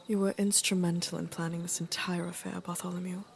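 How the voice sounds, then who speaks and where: Creaky, regal, weary queen played by a woman. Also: fairly low pitch, flat delivery, slight background noise. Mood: fond, dry. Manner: stately, measured, wry. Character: weary, dryly witty aging queen, regal and fond. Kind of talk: intimate fantasy audio drama acting.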